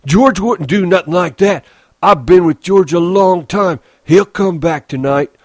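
A man voicing a big, slow-witted, childlike man in an audiobook, trusting and anxious, speaking in simple, stubbornly repetitive rural phrases. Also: sits high, a little mellow, no echo, clean studio sound, voice pushed up. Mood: worried, insistent.

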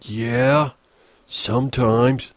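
A man's voice: very slow, no room sound. A man reading a story, voicing a big simple-minded grown man who talks eagerly and childishly. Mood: pleading. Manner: pleading, eager, rises high when excited.

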